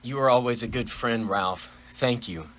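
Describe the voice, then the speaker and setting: A man's voice: mid-range voice, normal speed, fairly bright tone, natural voice. A man playing a frightened, pleading miser in a radio play, theatrical and prone to stammering. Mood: timid, reluctant. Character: frightened, pleading miserly businessman facing a ghost.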